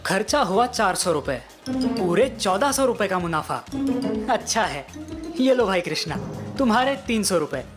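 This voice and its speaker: A woman's voice: mid-range voice, expressive, unhurried, pauses often, over loud music, natural voice. A woman voicing a trusting, hardworking male snack seller in a cartoon story. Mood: pleased, counting.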